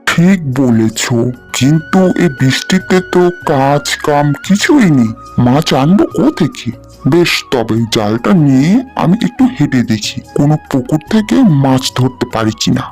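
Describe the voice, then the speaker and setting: A man's voice: on the high side, big pitch swings, normal speed, darkish tone, soft background music. A man voicing a poor, good-natured village husband in a cartoon fairy tale, with a colloquial, plaintive manner. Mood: agreeable.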